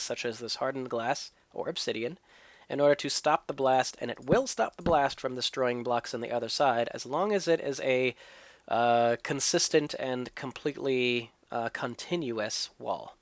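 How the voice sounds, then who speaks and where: A man's voice: medium pitch, no echo. Man with a stuffy cold explaining gameplay. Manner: explanatory, thinking aloud. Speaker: let's play host. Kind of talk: gameplay commentary and tutorial.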